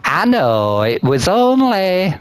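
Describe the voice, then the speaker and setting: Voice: medium pitch, big pitch swings, takes it slowly, clean tone, no room sound. A gamer voicing an earnest, worried big male warrior leader in a hesitant, pleading way.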